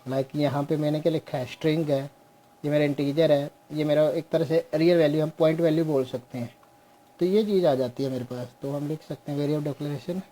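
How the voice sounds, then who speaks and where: A young man teaching a programming class on screen, slowly walking through code step by step and mixing Hindi with English. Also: on the high side, fairly even intonation, warm tone, slight background noise, low-quality recording. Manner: slow, explains step by step, mixes Hindi and English. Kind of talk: screen-share coding lesson.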